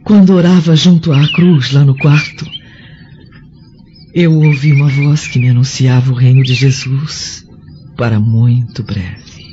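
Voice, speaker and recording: A woman playing a gentle, devout Roman noblewoman in a radio drama, earnest and formal in manner. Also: a bass voice, steady tone, unhurried. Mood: earnest, resigned. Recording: muffled audio, background noise.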